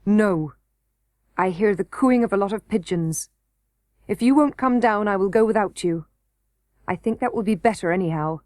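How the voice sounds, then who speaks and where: A woman's voice: mid-range voice, moderate pace, pauses often, a little mellow, no room sound, clean studio sound, close to an everyday voice. A woman voicing a blunt, vexed young miner boy who speaks curtly and rudely. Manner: curt and rude.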